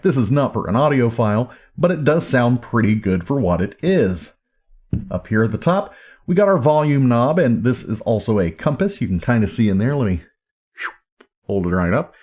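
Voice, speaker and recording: A man with a mid-pitched voice walks through a product in a casual hands-on review. His delivery is informal and off the cuff, like someone showing a gadget to viewers. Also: darkish tone. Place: indoors at home. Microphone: camera or recorder microphone.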